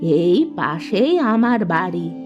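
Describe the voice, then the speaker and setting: A woman's voice: lowish voice, sing-song, takes it slowly. A woman voicing a kind, comforting old village woman in a cartoon story. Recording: dull lo-fi sound with music behind.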